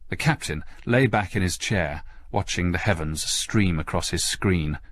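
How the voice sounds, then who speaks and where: A male audiobook narrator with a low voice reads scripted fiction. His delivery is that of dramatic storytelling. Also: measured pace.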